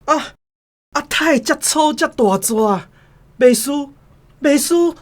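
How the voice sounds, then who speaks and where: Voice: sits high, expressive, takes it slowly. An actor voicing a startled, hesitant doctor who stammers and repeats phrases in a radio drama. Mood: startled, flustered. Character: startled doctor, snake-fearing, flustered and hesitant. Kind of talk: radio drama acting in Taiwanese.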